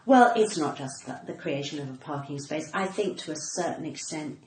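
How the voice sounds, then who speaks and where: A woman's voice: low voice, animated, moderate pace, a little mellow. A middle-aged woman with a well-spoken, cut-glass English accent, chatting casually and telling anecdotes in an emphatic, cheeky way. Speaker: podcast guest, comedian. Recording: roomy echo, light background noise.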